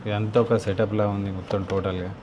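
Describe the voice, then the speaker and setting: A man's voice: on the low side, flat delivery, fast talker, noisy background. A man with a Telugu accent talking informally and thinking aloud as he casually walks through a product. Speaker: motovlogger unboxing and installing gear. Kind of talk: casual unscripted product walkthrough.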